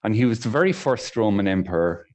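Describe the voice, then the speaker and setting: A man's voice: fairly low pitch, expressive, fast talker, a little mellow. A man, the meeting's master of ceremonies, greeting everyone politely and formally before opening the meeting. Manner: polite, formal, lots of "uh" fillers. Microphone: video call audio.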